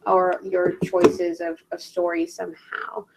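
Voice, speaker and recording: A woman's voice: on the low side, little pitch movement, unhurried, gravelly, heavy background noise. A woman who is a native Spanish speaker, hosting a casual interview in an informal, agreeable way. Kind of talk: casual online interview chat.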